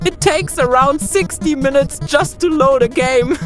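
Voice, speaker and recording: A woman's voice: high voice, unhurried, over music. A woman voicing a silly, teasing puppet sidekick bantering in a children's show.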